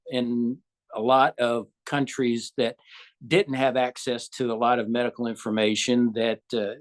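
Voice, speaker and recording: A man in his sixties with a Southern US accent, a physician answering interview questions in a measured, thoughtful way with frequent pauses. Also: mid-range voice. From Southern United States. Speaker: physician guest.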